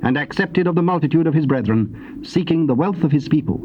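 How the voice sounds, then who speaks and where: A man gives a solemn, measured, declamatory narration of scripture. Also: on the high side, expressive. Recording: dull tape with faint noise.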